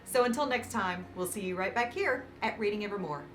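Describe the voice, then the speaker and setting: A woman reading a picture book aloud to children as host, with dramatic emphasis and warm, encouraging asides. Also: medium pitch, a little fast, slight background noise. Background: background music.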